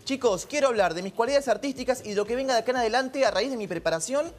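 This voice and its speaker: Quick earnest woman panelist. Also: a little high, animated. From Argentina. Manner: earnest, supportive. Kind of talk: talk show commentary. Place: TV studio.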